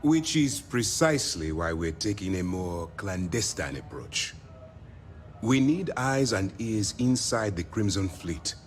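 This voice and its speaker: A composed military commander from a space game. His voice sits fairly low and moves expressively as he gives a formal, deliberate briefing. There is faint ambient noise behind him. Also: big pitch swings. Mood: formal, purposeful. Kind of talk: video game character dialogue.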